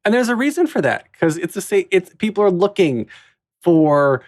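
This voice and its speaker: A man's voice: high-pitched, big pitch swings, moderate pace, darkish tone, dry recording, studio quality. Enthusiastic male podcast host. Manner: enthusiastic, chatty, riffs on playful ideas. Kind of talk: casual interview conversation.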